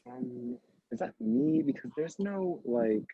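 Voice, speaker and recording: A man reading lines casually and hesitantly, with deadpan asides. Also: high-pitched, takes it slowly, creaky, husky, no echo, phone-quality sound. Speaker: co-reader voicing a story character. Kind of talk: casual amateur read-aloud with asides.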